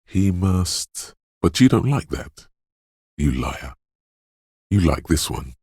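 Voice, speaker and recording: A man voicing an eerie, brooding inner voice of intuition in a video game, speaking in slow, hushed, cryptic pronouncements with dramatic pauses and a gravelly husk. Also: sing-song, dry recording, studio quality, heavily acted. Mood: ominous, mystical. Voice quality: very deep, gravelly, husky.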